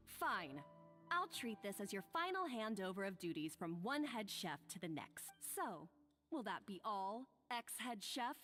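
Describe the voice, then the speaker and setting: A woman's voice: mid-range voice, sing-song, measured pace, fairly bright tone, soft background music. A woman playing a smug, scheming rival chef in an anime-style video game, haughty and theatrical in delivery.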